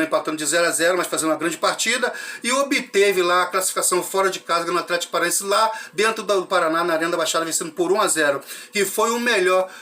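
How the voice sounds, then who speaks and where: Hoarse chatty Carioca football host. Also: male voice, sits high, bright, forceful tone. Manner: chatty, frequent "né?" tag questions. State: hoarse with a dry cough. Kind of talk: casual match recap to fans.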